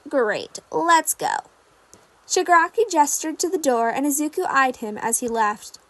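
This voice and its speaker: A woman narrates a scripted story in a high voice. The sound is dry and close, as an amateur audio fiction reading with no room around it. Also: lively intonation, a little fast, fairly bright tone, no room sound. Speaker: fan fiction reader.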